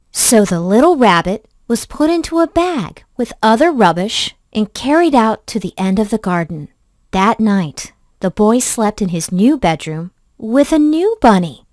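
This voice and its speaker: A woman reading a children's story aloud in an expressive, deliberate storybook manner. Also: medium pitch, dry recording, clean studio sound.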